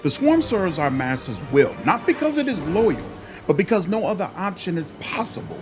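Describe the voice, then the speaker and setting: A man's voice: sits high, big pitch swings, moderate pace, voice pushed up. A man voicing a mocking, fanatical demon servant in a fantasy video game, theatrical, sneering and fervent. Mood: defiant scorn. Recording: music behind the voice.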